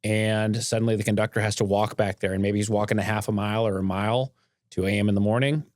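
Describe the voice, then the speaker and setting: A man with a low voice hosts a podcast in an earnest, conversational way. His talk is casual, and the recording is close and dry, with very little room sound. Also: fairly even intonation, fast talker, a little mellow, no echo. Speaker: podcast host, railroad company boss.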